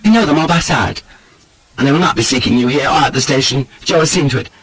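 A man's voice: mid-range voice, quick pace, a bit bright, husky, pitched above the performer's own voice. Audiobook role: imperious, short-tempered woman, read by a man, tense raised voice, clipped and cutting. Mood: commanding, contemptuous. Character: sharp, angry, commanding dainty woman.

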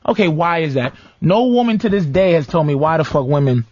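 A man's voice: a little high, animated, brisk, a little mellow, husky, no echo, dull audio. A man hosting a radio show, blunt and teasing, swearing freely in casual talk. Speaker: radio host.

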